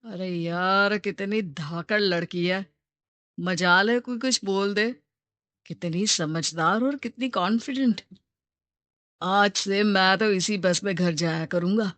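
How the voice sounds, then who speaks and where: A woman voicing a gentle, earnest, devoted young man in a storybook tale. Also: medium pitch, slow pace, no room sound. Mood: admiring. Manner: soft-hearted, earnest.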